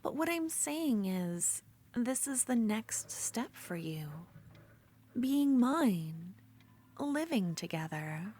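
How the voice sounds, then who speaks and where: A woman playing an obsessive, possessive yandere duelist in an ASMR fantasy roleplay, speaking intimately and moving between soft speech and breathy whispers. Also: a little high, sing-song, slow pace, exaggerated voice. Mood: possessive, sweet.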